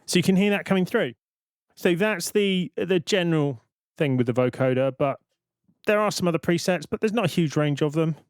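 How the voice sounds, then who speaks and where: Man explaining software, close dry sound. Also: a little high, lively intonation, fairly quick, smooth, dry recording. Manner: explanatory, thinking aloud. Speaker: tutorial presenter. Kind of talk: informal software tutorial narration.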